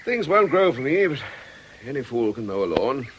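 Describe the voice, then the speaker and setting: A British actor playing a mild, downcast vicar in a radio drama, polite and hesitant. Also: male voice, medium pitch, big pitch swings, normal speed, lo-fi sound, noisy background, natural voice. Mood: subdued, polite. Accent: British English.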